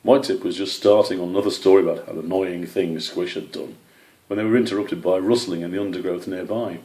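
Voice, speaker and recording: A man's voice: deep voice, steady tone, fast, warm tone, roomy sound, low-quality recording. A man reading a scripted children's animal story as its narrator. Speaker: author or story reader.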